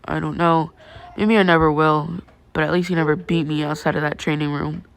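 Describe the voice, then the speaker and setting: A guarded, stoic teenage boy read by a woman in a story. The delivery is subdued and monotone, stating things plainly in a lowish voice. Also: brisk, vocal fry. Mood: flat, resigned. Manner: monotone, matter-of-fact, subdued.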